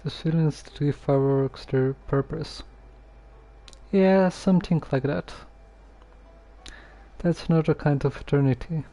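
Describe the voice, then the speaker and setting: A man streaming a game casually, hesitant and halting as he talks and reads text aloud. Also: a little high, mellow, soft background music. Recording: muffled lo-fi microphone.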